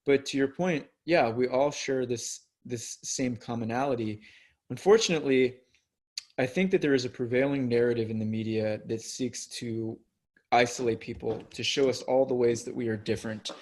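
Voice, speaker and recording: Podcast guest from the US, male, earnest and thinking aloud, mid-pitched voice. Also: moderate pace, darkish tone. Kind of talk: casual conversational interview.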